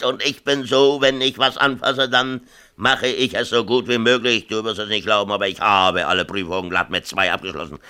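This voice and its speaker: A middle-aged small-town mayor in a radio drama, with a very low, hard-edged voice. He is defensive and self-pitying, a worn father who halts, repeats himself and argues in everyday colloquial speech. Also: pushed and bright. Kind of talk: 1970s German radio play acting.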